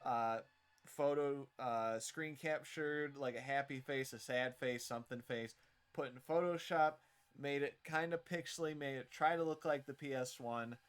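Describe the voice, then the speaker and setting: Man, smooth clear voice, slow pace, casual streamer chat, halting rambling delivery. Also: medium pitch, faint music behind. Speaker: streamer showing own visual novel.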